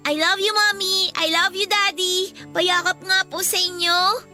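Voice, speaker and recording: A little Filipino girl with a very high, piercingly bright voice. She is sweet, eager and polite, a grateful daughter in a radio drama, with soft music underneath. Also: little pitch movement, a little slow, exaggerated voice. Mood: affectionate.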